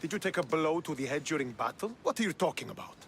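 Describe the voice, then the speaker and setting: An actor playing a proud, skeptical young Spartan officer in a video game, stern and dismissive. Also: male voice, fairly high pitch, big pitch swings, quick pace, vocal fry, fairly bright tone, slight background noise, heavily acted. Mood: suspicious, scornful. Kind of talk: video game cutscene acting.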